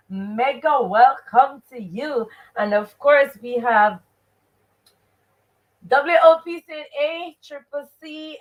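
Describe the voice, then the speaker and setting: High-voiced Jamaican woman teaching live. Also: poor audio. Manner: expressive, emphatic, repeats rhetorical questions and stock phrases. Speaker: teacher and host of a live read-aloud show. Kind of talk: interactive live lesson, questioning listeners.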